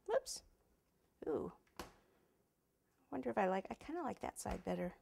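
A woman's voice: medium pitch, very animated, unhurried, gravelly, mellow. A woman in her forties teaching cake decorating in a home kitchen, chatty and thinking aloud as she works, recorded on a lapel mic. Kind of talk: casual hands-on tutorial.

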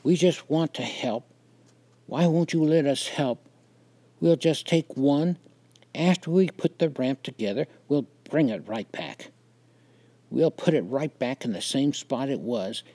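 A man's voice: medium pitch, lively intonation, a little slow, mellow, no room sound, voice pushed up. A man voicing a pushy older boy in a story, insistently coaxing a younger child. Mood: reassuring, wheedling.

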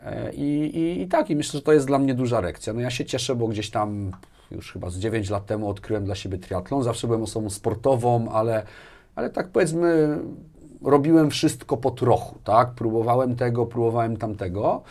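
A man's voice: mid-range voice, normal speed, darkish tone. A man in his forties speaking as an interview guest in casual conversation, emphatic, often adding little tag questions. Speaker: interview guest, business executive. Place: small indoor studio with armchairs and bookshelves. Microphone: lapel mic.

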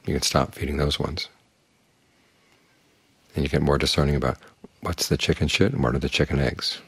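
Very deep, husky-voiced older man giving a talk. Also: normal speed, no room sound. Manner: calm, plain-spoken, uses homely images. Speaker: Buddhist monk teacher. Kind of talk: evening dharma talk.

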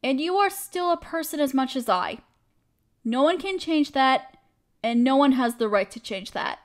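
A woman acts out a protective, caring female best friend with a high voice. She speaks directly and intimately to one listener, as in a comfort roleplay. Her delivery is earnest and reassuring. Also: expressive, normal speed. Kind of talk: audio roleplay, scripted comforting monologue.

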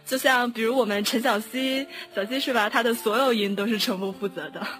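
A woman's voice: a little high, brisk, fairly bright tone. Woman chatting casually over music. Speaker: audio drama producer. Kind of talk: casual chat. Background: background music.

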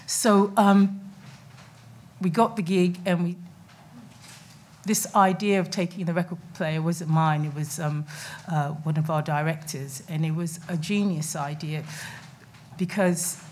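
A woman's voice: lowish voice, fairly even intonation, a little slow, pauses often, a little mellow, slight background noise. A middle-aged woman speaking on a festival panel in a conference room, talking chattily with many fillers and restarts. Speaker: panel speaker, documentary maker. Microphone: table mic on stand.

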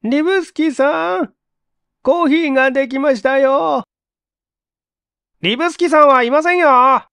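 A man voicing a talkative, matter-of-fact married landlady with brisk, chatty speech in a story reading. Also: very high-pitched, gravelly, sharp tone, dry recording, pitched above the performer's own voice, full character voice. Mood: calling out.

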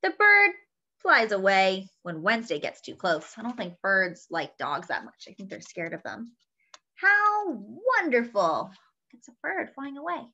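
A young woman reading a picture book aloud to children in an animated, sing-song way, adding small asides to her listeners. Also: mid-range voice, a little slow. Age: young adult. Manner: animated, sing-song storytelling with expressive character lines. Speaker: children's story reader. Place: small indoor room at home. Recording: dull lo-fi webcam sound.

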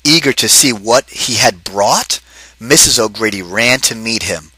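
A man reading a picture book aloud to children, pausing to ask them questions. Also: lowish voice, bright, forceful tone, slightly rough, dry recording, rough recording. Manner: asks the kids questions between pages. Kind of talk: interactive read-aloud for children.

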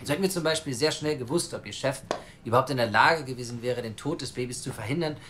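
An earnest, self-assured male journalist in an audio drama, played by an actor. He argues insistently and articulately in a mid-pitched voice, with faint ambience behind him. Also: quick pace, fairly bright tone, slight background noise, close to an everyday voice. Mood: confident, persuasive. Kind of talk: naturalistic audio drama acting.

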